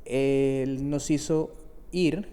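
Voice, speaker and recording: A man's voice: mid-range voice, steady tone, very slow, warm tone, clear, resonant tone. A man hosting a conversational podcast interview and putting questions to his guest. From El Salvador. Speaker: podcast host. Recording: echoey hall-like reverb.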